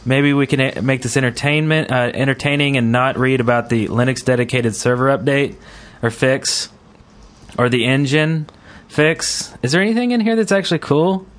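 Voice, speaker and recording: A man with a mid-pitched voice hosts a casual gaming podcast. He rambles along in a jokey, conversational way, circling around his points as he chats. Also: moderate pace. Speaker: podcast host.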